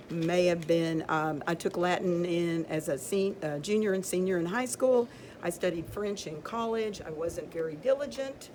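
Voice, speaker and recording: An older woman with a lowish voice talks her way through a stack of books, chatty and rambling. She stops now and then to read a passage aloud with emphasis. A steady hiss of background noise sits under her voice. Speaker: video creator showing books. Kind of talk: casual show-and-tell of books.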